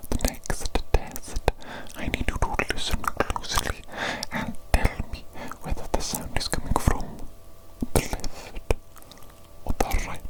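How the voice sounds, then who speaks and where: An evil doctor played by a woman speaks in a breathy, unvoiced whisper for an ASMR medical roleplay. The delivery is very slow and deliberate, giving careful instructions and repeating soft praise to a creature patient. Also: close to an everyday voice, poor audio. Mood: hushed, instructing, praising. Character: evil doctor calmly examining a big creature.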